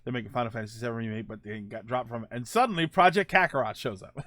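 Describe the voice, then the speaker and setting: A man's voice: mid-range voice, lively intonation, fairly quick, a bit bright, no echo. A man hosting a casual gaming livestream, talking in a playful, rambling, conversational way as he answers viewers. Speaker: host of a gaming show and livestreamer. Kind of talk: casual livestream chat about video games, answering viewer comments.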